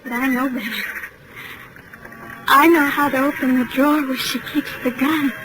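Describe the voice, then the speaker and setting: A woman's voice: sits high, fairly even intonation, moderate pace, natural voice. An actress playing a troubled, haunted young woman in an overwrought, melodramatic 1940s radio detective drama style, on a noisy old recording. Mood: brooding, confessional. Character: troubled, haunted young woman who believes herself evil.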